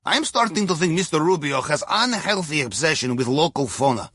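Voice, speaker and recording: Husky, animated Russian submarine captain. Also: male voice, fairly high pitch, fairly quick, dry recording, studio quality. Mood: wry, playful. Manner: wry, playful, conspiratorial asides. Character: wry, jovial Russian submarine captain and heist accomplice. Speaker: mission guide character in an action video game. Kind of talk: scripted video game mission voice lines.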